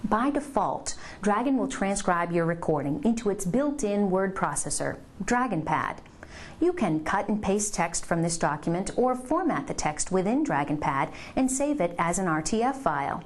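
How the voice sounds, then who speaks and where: A woman reading scripted instructional narration for a software tutorial, giving clear step-by-step directions. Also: mid-range voice, very animated, normal speed.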